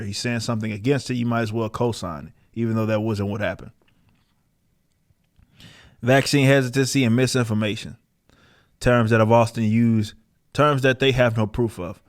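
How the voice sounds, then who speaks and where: A man giving casual, opinionated podcast commentary in a combative, emphatic manner with plenty of swearing. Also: medium pitch. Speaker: podcast host.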